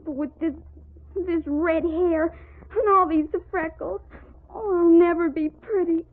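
A woman playing a sensitive, unhappy ten-year-old American girl, plaintive, halting and tearful, in an old-time radio drama. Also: very high-pitched, steady tone. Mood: tearful longing. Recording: tinny old radio recording.

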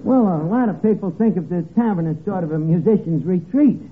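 Brash, slangy tavern schemer, old radio comedy, swooping delivery, heavy noise. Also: male voice, high-pitched, expressive, fairly quick. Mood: sardonic, wary. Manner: malapropisms, mangled grammar, wisecracking. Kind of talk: 1940s radio comedy acting. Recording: tinny, very noisy old radio recording.

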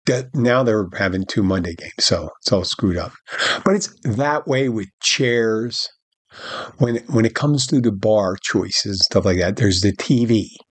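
Husky middle-aged man telling a bar story. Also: fairly low pitch, measured pace, dry recording. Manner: rambling, animated retelling with quoted dialogue and restarts. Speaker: podcast host and bartender. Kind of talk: casual storytelling monologue.

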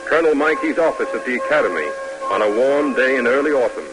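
A man narrating a 1950s radio drama from a script in a measured, formal, dramatic manner. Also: mid-range voice, lively intonation, rough recording. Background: music.

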